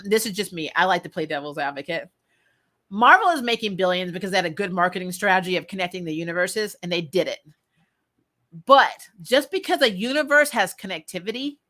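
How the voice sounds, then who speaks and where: Casting: female livestream host, bright edge to the voice, chatty and blunt, off-the-cuff fan commentary. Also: mid-range voice. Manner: candid, informal, mild swearing; laughs often while talking. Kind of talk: casual chat, opinionated fan commentary.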